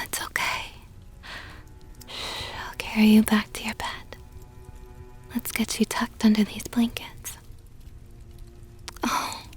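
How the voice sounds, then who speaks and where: A woman voicing a possessive, doting vampire countess in an intimate ASMR roleplay, whispering softly and slowly with a tender, teasing, coaxing manner. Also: on the high side, full character voice. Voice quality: breathy, soft whisper. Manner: slow, coaxing, affectionate murmur.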